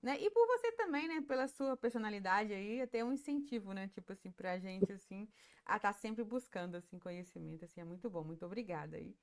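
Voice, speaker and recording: A woman's voice: medium pitch, animated, fairly quick. A woman interviewing a guest, speaking hesitantly with many small fillers and restarts. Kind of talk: casual interview talk.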